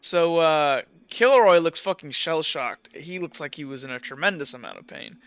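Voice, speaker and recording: Man, game master, higher-pitched, forceful, casual halting narration, profane, lo-fi recording. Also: lively intonation, clean tone, no echo. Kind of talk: improvised tabletop game narration.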